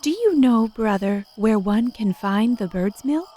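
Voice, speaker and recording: A woman playing a kind young fairy-tale maiden in a children's storybook cartoon, speaking gently and with measured care. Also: fairly high pitch, animated. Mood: asking.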